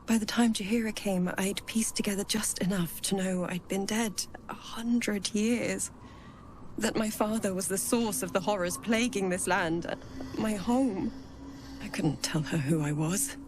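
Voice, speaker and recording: A woman playing a gentle, earnest character in a video game, soft, breathy and hesitant. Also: medium pitch, unhurried, a little hiss or hum, natural voice. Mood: sombre. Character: gentle, earnest woman returned from death, long in hiding. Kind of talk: intimate video game acting.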